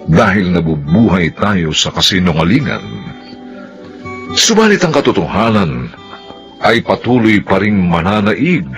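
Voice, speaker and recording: Solemn slow male narrator. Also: lowish voice, fairly bright tone. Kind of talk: closing moral narration.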